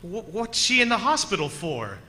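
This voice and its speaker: An actor playing a desperate, haunted young son on the road in an old-time radio drama, intense and theatrical. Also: male voice, high-pitched, big pitch swings, moderate pace, sharp tone, long reverb tail, full character voice. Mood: shocked, frantic. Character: desperate haunted male traveler, son telling his eerie story.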